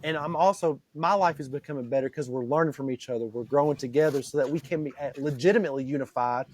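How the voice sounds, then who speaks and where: A man's voice: fairly high pitch, quick pace, a little mellow. A man in his forties hosting a podcast, talking earnestly and repeating himself as he works toward his point. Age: 40s. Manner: earnest, repeats words while reaching for a point. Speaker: podcast host. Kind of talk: casual chat.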